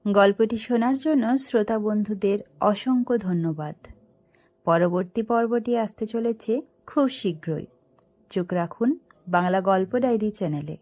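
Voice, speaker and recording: A woman's voice: mid-range voice, a little slow, a little mellow. A woman reading a scripted story aloud as its narrator, in a dramatic storytelling style.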